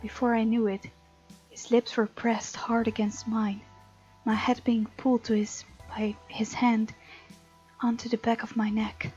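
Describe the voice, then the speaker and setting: A woman voicing a bold, tender young heroine who narrates a visual novel romance in a breathy, often whispery voice. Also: on the high side, level tone, measured pace, a little mellow. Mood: intimate, hushed. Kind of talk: hushed dramatic reading of a visual novel. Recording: muffled lo-fi mic, music underneath.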